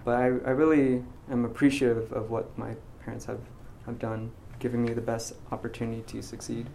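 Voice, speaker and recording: A young man in his twenties, a graduating physics student, talking in a casual interview, soft-spoken and hesitant with many ums and restarts. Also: mid-range voice, level tone, fairly quick, gravelly, a little hiss or hum. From United States. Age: 20s.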